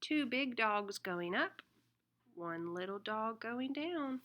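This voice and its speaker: Slow, higher-pitched woman reading a storybook. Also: animated, a bit bright, slight background noise. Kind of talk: read-aloud children's storybook narration.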